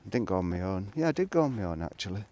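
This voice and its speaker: A man's voice: low-pitched, big pitch swings, very fast, mellow. A middle-aged man, rambling reflectively in a casual solo monologue, hesitant and thinking aloud as he goes. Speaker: solo video blogger.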